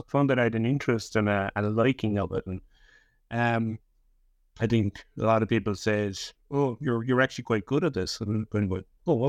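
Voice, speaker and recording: Man with Cork Irish accent, thirties, halting speech full of restarts, lowish voice, dry sound. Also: dry recording. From Ireland (West Cork). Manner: hesitant, many repeated words and restarts. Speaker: historian, guest author. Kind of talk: casual interview chat.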